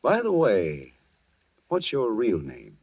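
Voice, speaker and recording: Low, shrewd, probing stepfather. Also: wildly swooping pitch, takes it slowly, no echo, exaggerated voice. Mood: probing, knowing. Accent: American. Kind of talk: 1940s radio acting. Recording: dull old radio recording.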